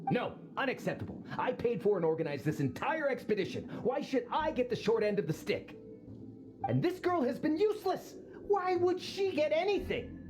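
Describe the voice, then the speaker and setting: A greedy, haughty merchant. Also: male voice, extremely high pitch, very animated. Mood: indignant. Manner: grasping, quick to anger, haughty. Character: greedy boastful male merchant, middle-aged. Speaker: expedition sponsor. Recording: game cutscene over music. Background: music.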